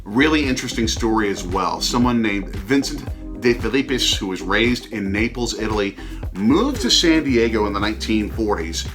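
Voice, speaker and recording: A middle-aged man co-hosting a food travel vlog in an upbeat, semi-scripted way, leaning on key words with drawn-out emphasis. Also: on the low side, expressive. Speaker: travel food show host, father co-hosting with his child. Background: background music.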